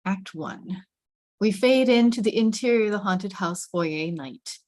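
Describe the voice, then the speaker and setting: A woman's voice: mid-range voice, animated, measured pace, a little mellow, dry recording. A woman reading stage directions as dramatic scripted narration, with suspenseful, emphatic phrasing.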